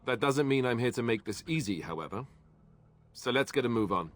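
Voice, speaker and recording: A man playing a steady young warrior with a dry, sardonic, reassuring manner. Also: mid-range voice, a little fast, close to an everyday voice. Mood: wry, assured.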